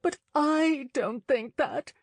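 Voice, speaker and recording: A woman doing a tearful young gentlewoman. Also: high-pitched, takes it slowly, no echo, pitched above the performer's own voice. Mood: pleading. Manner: pleading, tearful and defiant. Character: distressed young English gentlewoman, proud and tearful.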